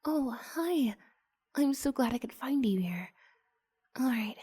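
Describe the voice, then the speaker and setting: A bashful, adoring student, acted by a woman, speaks in a lifted, soft voice that often slips toward a whisper. She is hesitant and gushing, in the intimate manner of an ASMR roleplay, with gentle, unhurried pacing. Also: high voice, animated, breathy edge, voice pushed up, heavily acted. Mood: shy, hopeful. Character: shy, flustered, adoring female student seeking homework help.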